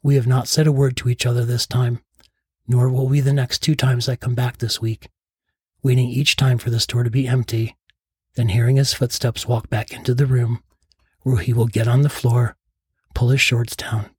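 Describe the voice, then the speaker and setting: Husky man narrating, close dry sound. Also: mid-range voice, little pitch movement, moderate pace, darkish tone, no echo. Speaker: podcast host. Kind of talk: scripted first-person storytelling narration.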